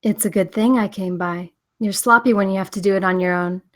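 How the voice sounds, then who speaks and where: A teasing, bruised drifter of a noir drama, read by a woman. Her voice is medium in pitch. She talks with easy familiarity, as to an old companion. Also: fairly even intonation, a little fast, a bit bright, lightly acted. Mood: teasing, tender.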